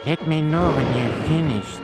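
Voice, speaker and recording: A man voicing a servile, creepy hunchbacked castle servant in a cartoon video game, with drawn-out delivery. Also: on the high side, very noisy, close to an everyday voice. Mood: grudging, matter-of-fact. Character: hunchbacked manservant of a spooky mad scientist's castle. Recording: loud music behind voice.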